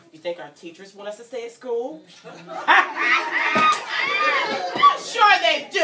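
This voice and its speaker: A woman reading a story aloud to young children in an animated, teacherly way, prompting them to join in and breaking into sung refrains. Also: on the high side, slight background noise. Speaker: guest story-time reader for young children. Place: indoor room at a childcare centre. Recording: echoey room, distant mic, children around.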